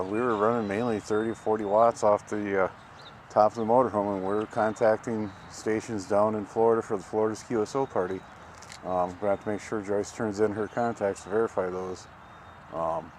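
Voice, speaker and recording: Casting: folksy American male vlogger, fairly low voice, unhurried rambling chat, light outdoor noise behind. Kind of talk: casual vlog narration. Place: outdoors at a campground. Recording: outdoor camcorder with ambient vehicle noise.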